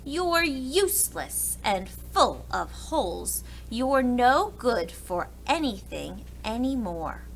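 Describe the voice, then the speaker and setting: A woman voicing a grumbling, fretful but soft-hearted old uncle in a storybook, speaking in emphatic exclamations. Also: fairly high pitch, very animated, takes it slowly, gravelly, hardly any pauses, a little hiss or hum, voice pushed up, exaggerated voice, rough recording. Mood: exasperated. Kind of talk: storybook character voice.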